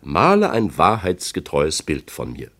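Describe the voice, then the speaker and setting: A man voicing an imperious, vain and quick-tempered tsar in a radio-play tale, with commanding, declamatory delivery. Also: very deep voice, huge pitch swings, a bit bright, exaggerated voice.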